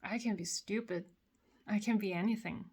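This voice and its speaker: A woman from Korea with a Korean accent, speaking in English as a second language. She talks in a personal, emotional monologue after crying, halting and repeating phrases. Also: mid-range voice, fairly even intonation, a little mellow, slightly rough. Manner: slow, halting, repeats phrases, many pauses. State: has been crying. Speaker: vlogger.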